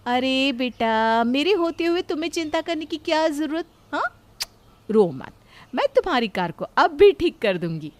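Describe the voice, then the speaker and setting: Woman playing a high-voiced, meddlesome young daughter-in-law. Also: very animated, normal speed, slight background noise. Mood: reassuring.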